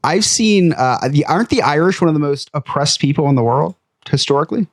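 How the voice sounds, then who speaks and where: A man trades jokes as a podcast co-host, his pitch swinging widely. He often restarts mid-sentence. The sound is close and dry. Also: a little fast, dry recording. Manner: animated, joking, trails off and restarts. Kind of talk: casual chat.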